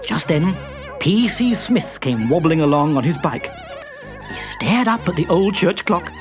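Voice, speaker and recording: A man telling a children's story with a sing-song, lively voice that swoops up and down. Music plays clearly behind him throughout. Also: fairly high pitch, a little slow. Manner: lively, expressive narration. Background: background music.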